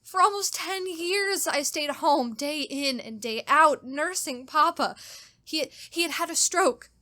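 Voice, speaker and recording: A woman's voice: high voice, unhurried, bright, forceful tone. An actress playing a terrified, genteel captive young woman in a suspense drama, with a faltering, melodramatic delivery. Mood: pleading. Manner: faltering, repeats words, melodramatic. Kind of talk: old-time radio style suspense drama, diary monologue.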